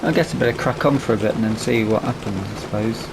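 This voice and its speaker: A British man with a lowish, creaky voice talks casually through a video game. He muses to himself as if nobody needs to answer. Vehicle noise runs clearly behind his commentary. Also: fast. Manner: musing aloud to himself. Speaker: gaming YouTuber. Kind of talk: casual let's play commentary.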